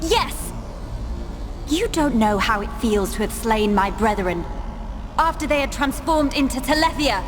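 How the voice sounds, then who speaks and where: An indignant young noblewoman in a fantasy game. Her high voice moves dramatically up and down, formal and impassioned, in long reverb over music. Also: expressive, unhurried, background noise. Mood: outraged, grieving. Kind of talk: dramatic game cutscene acting. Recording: long cavernous reverb, loud score.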